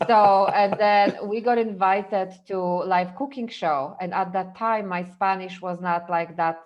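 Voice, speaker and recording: A woman from Poland, a podcast guest telling stories in an animated way and laughing easily. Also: medium pitch, slow, dull audio. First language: Polish. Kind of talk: casual storytelling chat.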